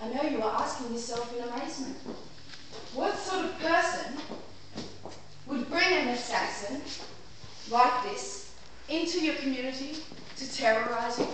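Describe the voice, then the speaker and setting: A woman on stage playing a pompous, melodramatic defense lawyer, addressing a jury with grandiose, deliberate delivery and dramatic pauses. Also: sits high. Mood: ominous, rousing. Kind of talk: theatrical courtroom monologue in an amateur stage play. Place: small theatre stage before a live audience. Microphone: distant camera microphone. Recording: echoey theatre, far from mic, faint noise.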